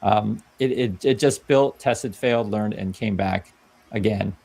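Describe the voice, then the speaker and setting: Creaky low-voiced man explaining casually. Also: a little slow, a little mellow. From Vietnam. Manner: conversational, keeps asking "right?". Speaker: commentator on a played video clip.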